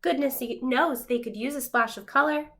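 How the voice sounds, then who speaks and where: A woman's voice: high-pitched, normal speed, pushed and bright. A woman voicing a sassy, neglected pink crayon from a storybook, blunt and reproachful.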